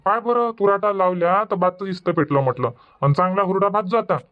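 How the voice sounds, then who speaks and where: A higher-pitched village farmer husband, voiced by a man in a cartoon comedy. He grumbles and gives orders. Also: fairly quick, highs cut off, lightly acted. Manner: grumbling, bossy, defensive.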